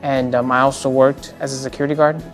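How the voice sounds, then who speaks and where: A man's voice: mid-range voice, level tone, fast talker, soft background music. A young man in his twenties telling about his program hesitantly, with many fillers. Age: 20s. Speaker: program student.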